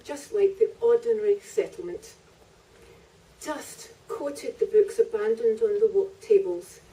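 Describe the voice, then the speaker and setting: A woman's voice: a little high, mellow. A woman author reading narrative prose from her own book at a live event, picked up by a distant microphone. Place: live festival reading in a library venue.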